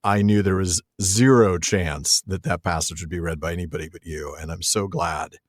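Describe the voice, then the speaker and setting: A man's voice: low-pitched, fairly even intonation, moderate pace, no echo. Effusive male podcast host, dry sound. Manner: effusive, enthusiastic. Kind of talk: casual book discussion.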